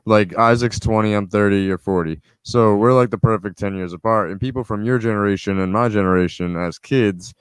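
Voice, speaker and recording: A man's voice: fairly low pitch, brisk, hardly any pauses, smooth, no room sound. A man in his thirties hosting a casual podcast chat, loosely rephrasing a co-host's question for the guest. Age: 30s. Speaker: podcast host.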